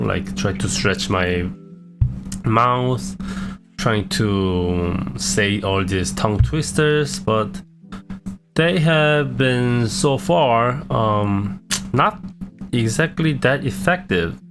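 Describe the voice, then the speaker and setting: A young man with a low-pitched voice and a non-native English accent talks in a hesitant, self-deprecating way, often restarting his sentences. Clear guitar music plays behind him. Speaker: streamer. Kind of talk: casual reflective vlog.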